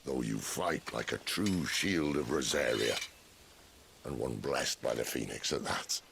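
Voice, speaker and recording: A worldly rebel leader from a fantasy video game, with an extremely deep voice and a gravelly grain. He talks in measured, sardonic understatement, roguish and dry. Faint noise sits behind him. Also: a bit bright, exaggerated voice. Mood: wry, teasing.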